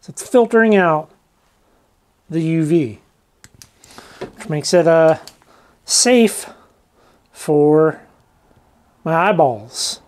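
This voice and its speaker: A man's voice: a little high, lively intonation, extremely slow, pauses often. A man in his thirties gives an informal, self-deprecating explainer as a channel host, talking to camera. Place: cluttered indoor workshop. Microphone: lavalier clipped on shirt.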